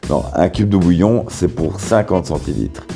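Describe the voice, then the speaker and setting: A man with a cold and a sore, hoarse throat, casually talking the viewer through simple home recipes with jokes along the way. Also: sits low, lively intonation, normal speed, warm tone. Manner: joking, chatty, talks straight to the viewer. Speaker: cooking vlogger. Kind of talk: casual cooking video narration. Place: his bedroom. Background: guitar music.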